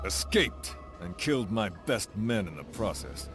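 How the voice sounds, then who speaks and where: Casting: stern game soldier, very low voice, gruff, curt, animated intonation. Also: male voice, unhurried, music in the background. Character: gruff, stern frontier cavalry officer. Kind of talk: video game cutscene acting.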